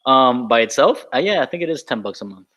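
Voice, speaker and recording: A man's voice: mid-range voice, lively intonation, fast, bright, forceful tone, no echo, lo-fi sound. A man chatting casually as a co-host on a podcast. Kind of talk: casual chat.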